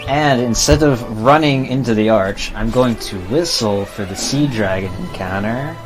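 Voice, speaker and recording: A man's voice: mid-range voice, measured pace. A man giving casual, laid-back gameplay commentary with dry asides, as a live streamer playing a video game. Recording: game music behind the voice. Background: video game music.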